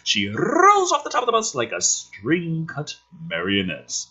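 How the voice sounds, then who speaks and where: A man gives a theatrical script reading with a bright, punchy, slightly rough voice. His pitch swings widely as he leans into the action. Between lines he slips into casual, sardonic commentary with some swearing. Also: measured pace, dull audio. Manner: animated, emphatic, theatrical.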